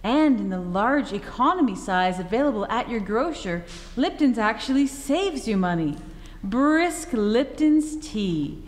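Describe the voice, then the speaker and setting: A woman's voice: fairly high pitch, animated, measured pace. A woman playing a chipper old-time radio advertising announcer, perky and selling, recorded on a reverberant stage.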